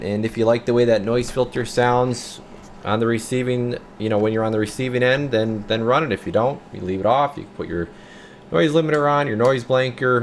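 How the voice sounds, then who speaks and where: A man's voice: medium pitch, a little hiss or hum. A man hosting an informal hands-on demonstration, talking viewers through each step as he works, sometimes half muttering to himself. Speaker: host of a radio repair and test video channel. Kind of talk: casual hands-on demonstration, talking while working.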